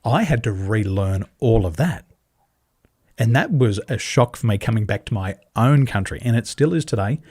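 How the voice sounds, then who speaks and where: An Australian man, a podcast guest, speaking on a video call and telling animated stories, acting out dialogue with big leaps in pitch and emphatic repetition. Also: moderate pace, breathy edge, no echo, studio quality. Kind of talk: animated conversational storytelling. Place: home in Taiwan, over a video call.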